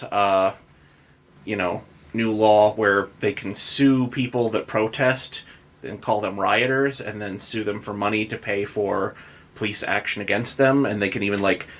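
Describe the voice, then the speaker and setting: A man's voice: fairly low pitch, fairly bright tone. An American man hosting a podcast, reading headlines and chatting casually. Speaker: podcast host. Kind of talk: casual news and banter podcast talk.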